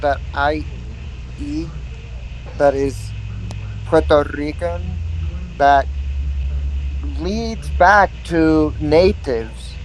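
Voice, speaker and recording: A man chats on a livestream at a very slow pace, repeating words as he goes and laughing easily. His voice sits a little high. A faint hiss runs behind him. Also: rough recording. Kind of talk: casual chat.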